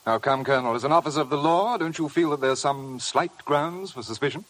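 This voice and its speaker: An actor playing a smug, suave blackmailer with an insinuating, mock-polite manner in a 1950s radio drama. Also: male voice, on the high side, brisk, fairly bright tone, natural voice. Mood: feigned innocence. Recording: noisy old 1950 radio broadcast.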